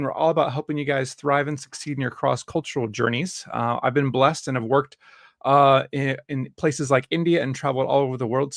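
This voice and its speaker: A man's voice: medium pitch, no echo, clean studio sound. Upbeat man hosting a webinar. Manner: upbeat, fillers. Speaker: host. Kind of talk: webinar interview. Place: Dallas, Texas.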